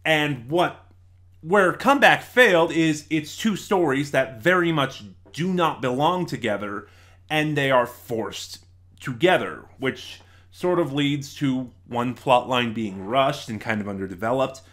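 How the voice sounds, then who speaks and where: Male review host with a medium-pitched voice, informal and digressive, often backtracking mid-sentence. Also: lively intonation, measured pace, a bit bright. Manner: rambling, digressive, self-correcting. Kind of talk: casual spoken review.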